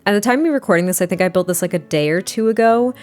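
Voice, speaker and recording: A young adult woman with a slightly hoarse, rough voice, chatting casually and rambling through a voiceover, sounding a little tired. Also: mid-range voice, very fast, a little mellow. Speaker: Sims speed build creator.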